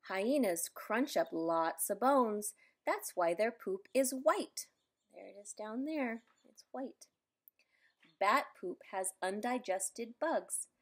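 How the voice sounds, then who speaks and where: A woman reading a children's picture book aloud, adding playful, expressive asides for young listeners. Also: mid-range voice, slow. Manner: playful, expressive, simple child-directed delivery with exclamations. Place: indoors in a room at home.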